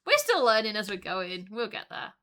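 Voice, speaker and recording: A woman co-hosting a relaxed podcast, her voice bright and forward. She chats freely with plenty of ums and laughs easily along the way. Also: medium pitch, moderate pace. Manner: chatty, laughs often, many fillers. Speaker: podcast co-host. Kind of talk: casual chat.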